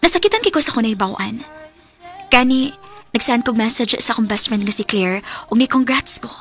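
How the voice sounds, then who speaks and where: A woman's voice: a little high, lively intonation, fairly quick, fairly bright tone. A woman playing a heartbroken, wistful thirty-year-old single woman in a radio drama, with a Cebuano Filipino accent. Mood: hurt, sorrowful. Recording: music under the voice.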